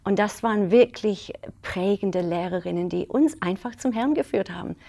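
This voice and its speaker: A middle-aged woman from England, telling her life story as an interview guest in a lively way, with frequent laughs and fillers. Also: medium pitch, moderate pace, gravelly.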